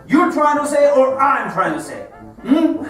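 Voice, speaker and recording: A man's voice: very high voice, sing-song, measured pace, fairly bright tone, full character voice. A man playing a volatile, self-righteous police detective in a stage monologue, profane and mocking as he interrogates. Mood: aggressive, mocking. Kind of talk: theatrical monologue performance. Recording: roomy, distant mic, music behind.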